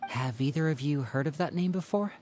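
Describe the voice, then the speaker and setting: A man's voice: on the high side, brisk, a little mellow, a little hiss or hum, lightly acted. A man voice acting a theatrical young magician and protective elder brother in a video game, speaking in a coaxing way. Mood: probing, thoughtful.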